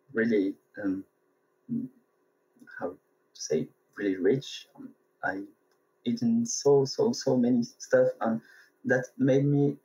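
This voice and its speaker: A man from France and Tunisia answers questions slowly and hesitantly, often stopping to search for words. His voice is low and husky, and it comes over a dull lo-fi remote line. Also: a little mellow. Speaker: guest, sake brewer. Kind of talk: interview answers.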